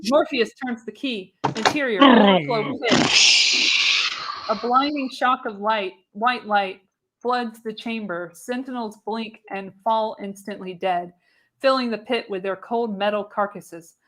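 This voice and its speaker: A woman's voice: on the high side, measured pace, a bit bright, low-quality recording. A woman reading screenplay narration for a live radio play, theatrical and emphatic on the action. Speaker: podcast host reading the narration.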